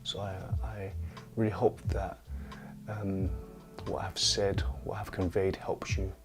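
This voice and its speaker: Calm, low-voiced man in his forties. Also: music in the background. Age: 40s. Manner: calm, unhurried, gently reflective. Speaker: energy healer and massage therapist. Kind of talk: livestream Q&A with viewers. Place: dim lamp-lit living room. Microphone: clip-on lapel mic.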